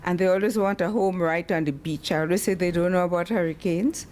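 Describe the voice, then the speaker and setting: A woman in her sixties from the English-speaking Caribbean, giving a formal academic talk with personal tributes and animated storytelling. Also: on the low side, fairly quick, slight background noise. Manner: animated storytelling with acted-out quotes. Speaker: guest lecturer.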